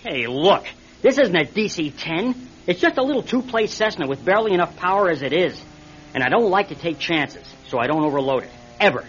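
A reluctant young man, an amateur pilot in an old-style radio drama. He is casual and hesitant, with very animated inflection. The sound is slightly muffled, with faint noise. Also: sits high, fairly quick. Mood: apologetic, firm. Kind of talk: classic radio drama acting. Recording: dull, slightly noisy radio drama recording.

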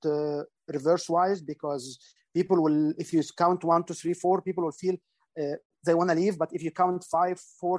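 A man's voice: sits high, a little mellow, no room sound. A middle-aged man, explaining public speaking in an interview, earnest and instructive, with frequent fillers and restarts. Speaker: guest speaker. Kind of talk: interview answers.

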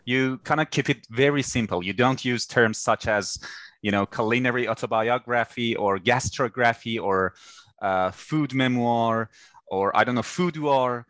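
A man's voice: medium pitch, unhurried, a bit bright, dry recording. A man hosting an interview podcast, asking polite questions with hesitant fillers. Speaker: podcast host.

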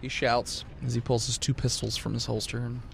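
A man's voice: medium pitch, flat delivery, a little fast, vocal fry, a little hiss or hum. A man acting as game master, casually improvising combat descriptions and joking with his players. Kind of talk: casual improvised tabletop game narration and banter.

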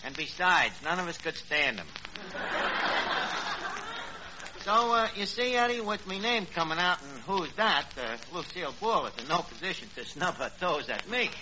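Cocky New York barkeep, high animated voice, pompous malapropisms, 1940s radio comedy, noisy old recording. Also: male voice, fairly quick, few pauses, muffled recording. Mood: blustering. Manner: malapropisms delivered with pompous confidence. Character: cocky, blustering, uneducated New York tavern manager.

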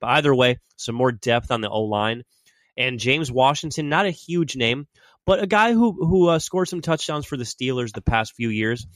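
A man's voice: medium pitch, normal speed, a bit bright, no echo. Male podcast host, stuffy cold, loose fan chatter, dry sound. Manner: chatty, fan-style predictions. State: has a cold. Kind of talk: casual sports talk.

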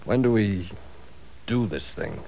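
An actor playing a cautious middle-aged professor who asks hesitant, measured questions in 1940s radio style. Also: male voice, fairly low pitch, animated, gravelly, dark tone, a little hiss or hum, exaggerated voice, rough recording. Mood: uneasy.

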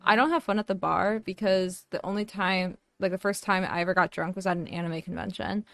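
A woman's voice: lowish voice, fairly even intonation, fairly quick, no echo. A woman in her twenties telling anecdotes in casual chat with a friend as a podcast co-host, informal and blunt. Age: 20s.

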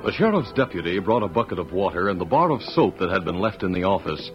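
A man's voice: sits low, big pitch swings, fast, loud music behind the voice. A man narrating a western adventure as a scripted old-time radio announcer. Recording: dull old radio recording.

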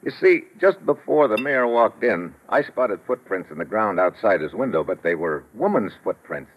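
An actor playing a sardonic, hard-boiled American investigator in a 1950s radio drama, wry and clipped. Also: male voice, on the low side, very animated, moderate pace, a little hiss or hum. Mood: explaining, assured. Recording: dull old radio recording.

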